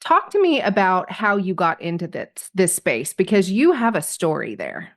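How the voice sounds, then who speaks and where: A woman hosts her podcast in relaxed conversation, searching for words and pausing often. She laughs freely and warmly encourages her guest. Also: fairly low pitch. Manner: reflective, hesitant, laughs often. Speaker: podcast host. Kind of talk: casual interview chat.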